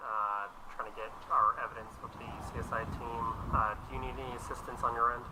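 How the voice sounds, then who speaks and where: A man's voice: medium pitch, little pitch movement, a little fast, heavy background noise, natural voice. A man playing a matter-of-fact police officer, giving radio updates in a game roleplay. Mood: businesslike.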